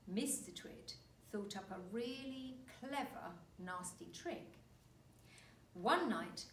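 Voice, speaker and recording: A woman reading a children's story aloud like a teacher, with dramatic, deliberate emphasis. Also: medium pitch. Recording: roomy, distant mic, faint noise.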